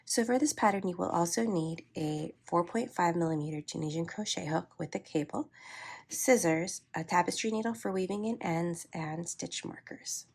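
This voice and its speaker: A woman with a head cold, sounding congested, calmly talking through a crochet tutorial step by step. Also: lowish voice, moderate pace, vocal fry. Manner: calm, steady instructional pacing with soft asides. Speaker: crochet teacher.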